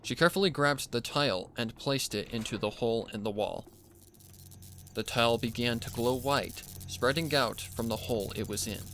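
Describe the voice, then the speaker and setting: A man's voice: medium pitch, moderate pace, a bit bright, soft background music. A man reading a dramatic scripted story as narrator, with noise behind him. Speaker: narrator reading fan fiction.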